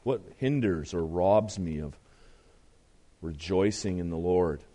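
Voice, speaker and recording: A pastor with a very low, strained voice delivers a sermon. He speaks earnestly and builds emphasis as he reads scripture aloud. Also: slow, mellow. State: voice strained, deeper than usual.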